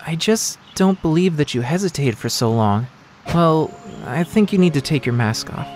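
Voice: medium pitch, lively intonation, normal speed, a little mellow, a little hiss or hum, close to an everyday voice. A performer voicing a cheerful, easygoing young creature, earnest and childlike, in a casual cartoon comic dub. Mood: concerned.

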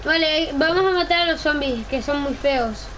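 High-pitched woman gamer, blunt and teasing. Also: moderate pace, background noise. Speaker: video game player. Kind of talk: casual gaming chat with a co-player.

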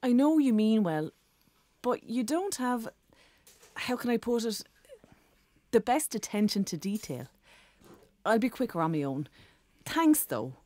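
Busy, fussy hotel woman, higher voice, very animated, children's audio drama, close dry sound. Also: unhurried, pauses often, no room sound. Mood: harried. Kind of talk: children's audio story acting.

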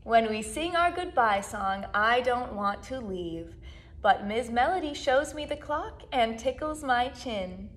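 A woman in her twenties, a children's music educator, reading a story aloud to young children with animated, sing-song delivery and drawn-out words. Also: on the high side. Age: 20s. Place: small plain indoor room, seated.

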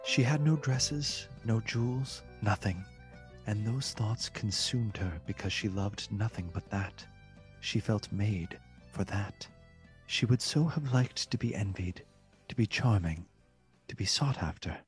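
A solemn male storyteller played by a man narrates a gothic radio play. His voice is fairly low and his phrasing formal and measured, with music clearly playing behind him. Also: lightly acted. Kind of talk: dramatic literary narration.

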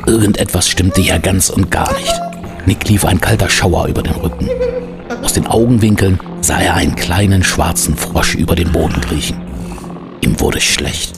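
Male narrator, low and gravelly, suspenseful storytelling over prominent music. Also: huge pitch swings, normal speed. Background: loud music.

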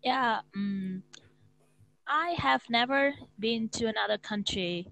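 A woman's voice: fairly high pitch, takes it slowly, gravelly, a bit bright, dry recording, rough recording. A nineteen-year-old woman from Vietnam with a Vietnamese accent, speaking into an earphone mic in a small room as an English student, hesitant and searching for words. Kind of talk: casual video-call lesson. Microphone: wired earphone mic.

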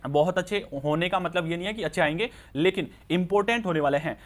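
Higher-pitched man explaining market news. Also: quick pace. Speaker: stock market news presenter. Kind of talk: informal explanatory news commentary. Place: voice-over on slides with handwritten notes.

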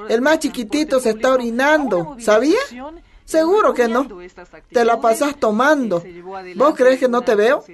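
A woman's voice: high voice, moderate pace, natural voice. A woman playing a feisty, scolding elderly grandmother in a radio soap, with a Bolivian accent.

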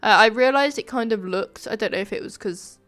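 A woman giving casual, informal commentary over a game building video, sounding nasal and a bit flat from a sore throat. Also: on the high side, fairly quick, faint music behind. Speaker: gaming video creator, narrator.

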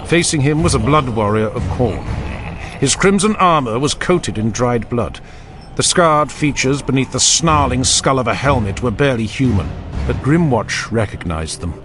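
A man's voice: medium pitch, background noise. Grave male fantasy audiobook narrator. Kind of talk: dramatic scripted fantasy narration.

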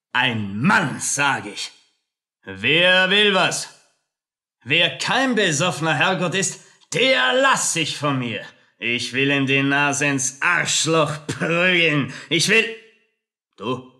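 A man's voice: a little high, big pitch swings, drawn-out words, long pauses, sharp tone, heavily acted. A man playing a drunken, boastful drum major in a radio play, swaggering and bullying as he bellows. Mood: drunken bragging. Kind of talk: dramatic radio play acting.

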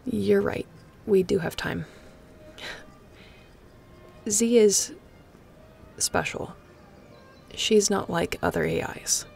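A woman playing a guarded, wry spaceship captain in an intimate sci-fi audio roleplay, with a gravelly voice and dry, teasing, conversational delivery. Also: mid-range voice, slow pace, long pauses, a little mellow, faint music behind. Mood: reflective storytelling. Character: guarded, wry female spaceship pilot captain, softening toward an old friend.

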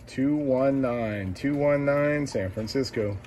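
A man makes radio calls in short, repeated phrases, spelling out numbers deliberately. His voice sits away from the mic, under loud noise and clicking from the radio gear. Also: mid-range voice. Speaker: CB radio operator. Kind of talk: radio calling, short repeated call signs. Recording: noisy radio shack, distant mic, clicks.

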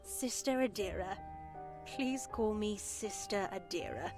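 A woman's voice: medium pitch, a little slow, close to an everyday voice. Woman acting composed, formal elderly nun, music behind. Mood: solemn, instructive. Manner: formal, gently controlling. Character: stern, composed elderly nun in charge of a convent. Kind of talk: visual novel voice acting.

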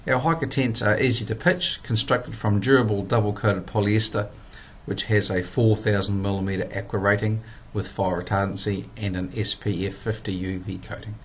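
A man, presenting a product in a measured, scripted voice-over. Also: fairly low pitch, steady tone, a little hiss or hum. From New Zealand. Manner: measured, plain instructional delivery.

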